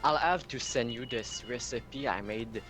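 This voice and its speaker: A guest chatting casually on a game stream, easygoing and quick to laugh. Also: mid-range voice. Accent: regional accent. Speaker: co-host on a stream. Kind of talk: casual chat. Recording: game music behind.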